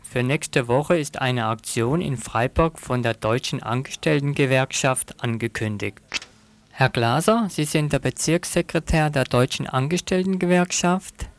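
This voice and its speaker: A man's voice: medium pitch, no echo. A man working as a radio reporter, putting prepared, formal questions in a news interview.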